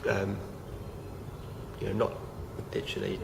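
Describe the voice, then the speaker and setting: A young man talks haltingly, full of fillers and false starts. His low voice is gravelly and croaky from feeling unwell, and a steady hiss sits under the home recording. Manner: hesitant, many fillers and restarts. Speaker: vlogger. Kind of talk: casual talk to camera.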